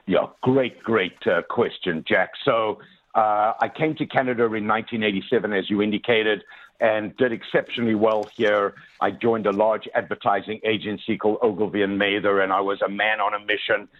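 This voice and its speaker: A man in his sixties, a motivational speaker, talks about his own past on a radio show. His voice sits on the low side and comes through a thin, tinny phone line. He is earnest and pauses often on "uh". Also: fairly even intonation. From South Africa. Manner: earnest, many "uh" fillers, addresses the host by name. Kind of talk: radio interview, personal storytelling.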